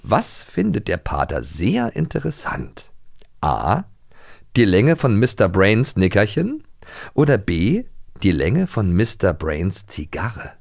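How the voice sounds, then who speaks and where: A man's voice: lowish voice, wildly swooping pitch, slow pace, creaky, a little mellow, dry recording, studio quality. A man co-hosting a podcast in casual, playful banter, often teasing his partner. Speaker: podcast co-host.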